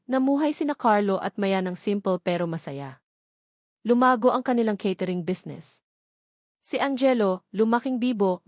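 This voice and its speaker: A woman narrating a scripted romance audiobook in a measured, sentimental storytelling manner. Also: mid-range voice, darkish tone, dry recording, studio quality.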